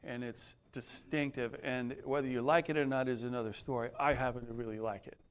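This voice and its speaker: A man at a middle pitch introduces the next speaker to a crowd. His talk is chatty and folksy, full of restarts and asides, with the plain voice of an ordinary man. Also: steady tone, a little fast, clear, resonant tone. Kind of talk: spoken introduction.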